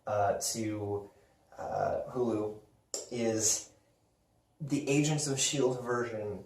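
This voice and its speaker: A man hosting a casual fan discussion show, talking in an excitable, informal and emphatic way. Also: fairly low pitch, drawn-out words. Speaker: host of a pop culture video show. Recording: roomy echo, distant mic.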